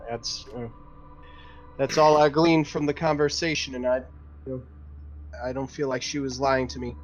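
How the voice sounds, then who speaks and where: A man playing a tabletop roleplaying game, casually improvising his lines in a hesitant, conversational way. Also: mid-range voice. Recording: slightly dull audio, faint music behind.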